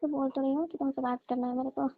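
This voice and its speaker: High-pitched young woman on a phone. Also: flat delivery, fast, no echo. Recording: tinny narrowband phone line.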